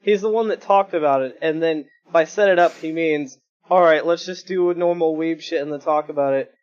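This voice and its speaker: A young man hosting a casual gaming stream, joking and self-mocking, with a reasonably high-pitched voice, talking into a home desktop mic. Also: a little fast, smooth, lo-fi sound. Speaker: stream host. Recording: background music.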